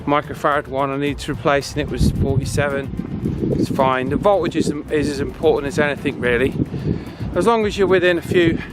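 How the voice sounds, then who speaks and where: A man's voice: a little high, fairly quick, very noisy. A man talking casually and informally outdoors as a vlog host, explaining a radio hobby in an encouraging way. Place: outdoors on a walk.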